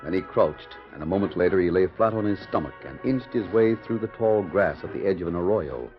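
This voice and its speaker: A male American announcer narrates an old radio western with grand, theatrical delivery and sweeping pitch. The recording is muffled and vintage, with music behind him. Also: animated, brisk. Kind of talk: 1940s radio western narration, dramatic and scripted.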